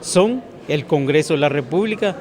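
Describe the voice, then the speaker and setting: A man's voice: a little high, expressive, normal speed, crowd noise. A man from Guatemala speaking with passion and some restarts.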